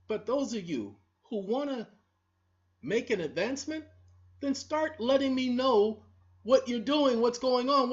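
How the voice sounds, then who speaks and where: Woman video host, preachy confrontational monologue, animated delivery, muffled lo-fi audio. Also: sits high. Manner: preachy, scolding, repetitive, talks straight to the audience. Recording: dull lo-fi recording.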